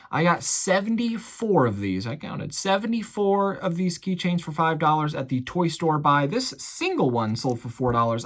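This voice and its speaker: An American man talking casually to viewers as an online reseller running through a sales recap in a chatty, folksy showman's way. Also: medium pitch. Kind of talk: casual vlog recap of items sold.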